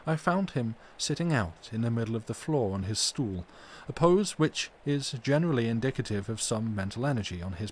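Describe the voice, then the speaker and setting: Man reading a gothic novel, faint noise. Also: medium pitch. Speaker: audiobook reader on a livestream.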